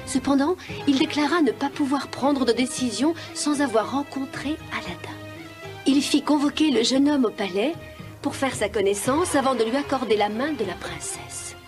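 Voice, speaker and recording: A woman narrating a children's animated tale in a scripted storybook style. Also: sits high, normal speed. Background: film music.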